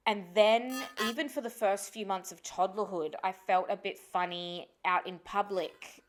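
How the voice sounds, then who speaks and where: A woman's voice: mid-range voice, steady tone, unhurried, soft background music. Candid, sweary native Spanish-speaking woman. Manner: sweary, reflective, thinking aloud. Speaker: podcast co-host. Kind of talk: candid casual podcast chat.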